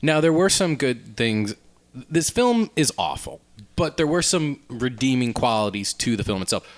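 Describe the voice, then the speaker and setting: A man with a medium-pitched voice and very lively intonation. He chats casually as a podcast host, working earnestly through his opinions. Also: normal speed. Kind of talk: casual film and video game discussion.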